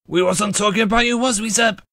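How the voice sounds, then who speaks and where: A man's voice: sits high, fairly even intonation, a little fast, sharp tone, no room sound, studio quality. A man voicing a gloating, scheming cartoon tugboat bully with slangy taunts.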